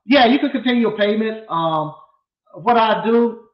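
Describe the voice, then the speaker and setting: A man's voice: high-pitched, expressive, normal speed. A man in his fifties hosting a casual livestream from a small home studio, talking bluntly in a loose, rambling way with lots of restarts. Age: 50s. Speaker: appliance repair instructor and channel host. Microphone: desk mic on a boom arm. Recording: echoey room, tinny phone-like sound.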